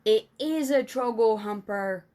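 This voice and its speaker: A woman voicing an earnest, excitable male giant in a children's storybook, with emphatic, folksy, muddled speech. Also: a little high, unhurried. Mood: exasperated, triumphant. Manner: emphatic, folksy mangled grammar. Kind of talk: children's storybook character reading.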